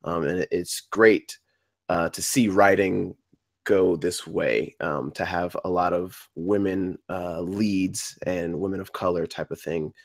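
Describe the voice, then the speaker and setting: A man giving informal feedback to a group, halting and hesitant, often repeating his words. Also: low-pitched, steady tone, dry recording, studio quality. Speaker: table-read participant giving feedback.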